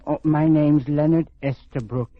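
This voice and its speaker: An actor playing a meek, self-deprecating middle-aged husband in an American radio comedy, hesitant and deadpan. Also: male voice, fairly high pitch, a little slow. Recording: muffled, noisy old radio with studio audience.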